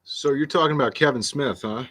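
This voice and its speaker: A man chatting casually on a live stream, talking in a relaxed, conversational way. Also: mid-range voice, brisk, dry recording.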